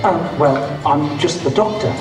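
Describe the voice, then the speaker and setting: A man voicing an eccentric, flustered time-traveling doctor turned pony in an animated audio comedy, wordy, hesitant and bemused. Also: on the high side, moderate pace, close to an everyday voice. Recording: echoey hall, distant, loud music.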